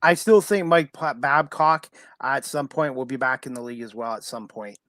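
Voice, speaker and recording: A male podcast host chats casually in a medium-pitched voice. The sound is dry, with little room in it. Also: moderate pace, a bit bright, dry recording. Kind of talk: casual chat.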